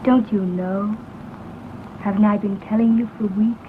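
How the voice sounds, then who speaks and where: Tinny old-film actress as young sweetheart. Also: female voice, mid-range voice, steady tone, a little slow, background noise, close to an everyday voice. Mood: affectionate, coy. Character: modest, affectionate young sweetheart. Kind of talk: old film romantic drama acting.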